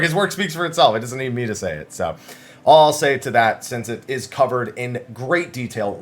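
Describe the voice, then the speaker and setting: Male gaming news host, mid-pitched, conversational and emphatic, casual commentary full of wordy asides. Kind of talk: casual news commentary, talking through interview summaries.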